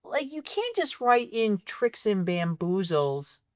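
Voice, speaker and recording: A woman co-hosting a film podcast, ranting in exasperation about a movie's plot holes, her voice going a bit raspy. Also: medium pitch, takes it slowly, no room sound, studio quality. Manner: exasperated, ranting, animated complaints. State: voice getting raspy. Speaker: podcast co-host. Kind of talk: casual film critique chat.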